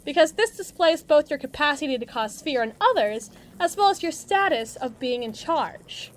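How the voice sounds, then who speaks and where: Woman acting a brash, high-pitched villain henchwoman. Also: expressive, moderate pace, a little hiss or hum. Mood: needling, condescending. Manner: sarcastic, theatrical, mocking, slangy tough talk. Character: brash, mean female villain henchwoman, muscle for evil agency. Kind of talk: acted monologue roleplay addressed to listener.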